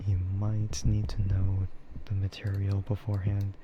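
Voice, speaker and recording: A man chatting casually in a soft, half-whispered ASMR style, rambling and hesitant as he streams close to the microphone. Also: fairly low pitch, completely flat delivery, moderate pace, lo-fi sound, background noise. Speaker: VTuber streamer.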